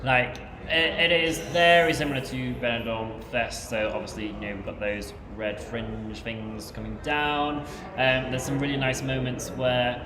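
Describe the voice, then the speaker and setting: A man commenting live on a rehearsal, describing the staging in a measured way with ums. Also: medium pitch, steady tone, music in the background. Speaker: livestream co-host describing rehearsal. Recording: echoey hall with background noise.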